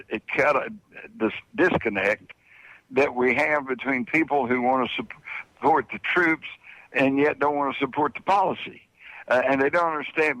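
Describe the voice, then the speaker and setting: A man's voice: mid-range voice, a bit bright, no echo, poor audio. An older man speaking as a radio interview guest, opinionated and emphatic with a folksy way of talking. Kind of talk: opinionated political discussion.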